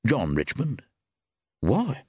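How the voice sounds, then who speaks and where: A man's voice: medium pitch, huge pitch swings, drawn-out words, slightly rough, no echo, studio quality, voice pushed up, heavily acted. A man voicing an urbane, affable and inquisitive novelist in an audiobook, polite and direct. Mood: brisk, probing. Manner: polite, direct, well-spoken. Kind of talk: audiobook character voice.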